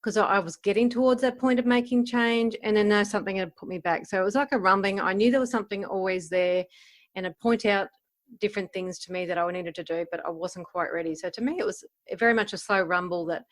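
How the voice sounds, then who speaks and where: A woman's voice: medium pitch, steady tone, quick pace. A woman of about fifty answering interview questions as a life coach, reflective and informal, often voicing her own thoughts as quotes. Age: around 50.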